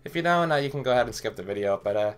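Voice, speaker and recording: A man's voice: mid-range voice, expressive, very fast, clean tone. A young man talking casually to his webcam in a small bedroom, a little sick with a cough, rambling with many fillers as he walks through computer parts. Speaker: tech vlogger. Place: small bedroom with hardware boxes. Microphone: webcam at desk.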